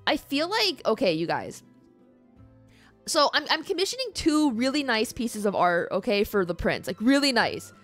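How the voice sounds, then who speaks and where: A woman's voice: a little high, sing-song, moderate pace, fairly bright tone. A woman livestreamer chatting casually and playfully with her live audience in an informal, mildly sweary way. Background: background music.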